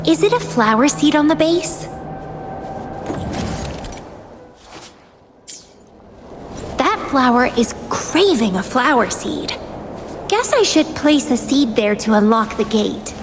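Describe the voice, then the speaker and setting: A woman's voice: sits high, sing-song, measured pace, noisy background. A woman voicing an enigmatic, mischievous young woman warrior in a video game, with a theatrical, teasing delivery that savours words. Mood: curious, amused. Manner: theatrical, teasing, savouring her words, given to musing aloud. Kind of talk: video game character acting.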